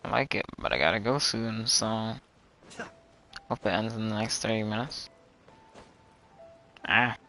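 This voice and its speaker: A man's voice: fairly low pitch, steady tone, measured pace, pauses often, sharp tone, soft background music. A man giving casual live-stream commentary as he plays a game.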